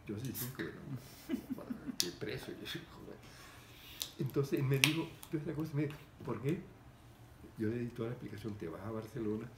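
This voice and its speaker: An older man, telling a story in casual conversation and animatedly acting out the people in it. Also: lowish voice. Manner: animated, gestures a lot, voices the people in his story. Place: room with framed pictures, seated at a table indoors. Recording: echoey room with background noise.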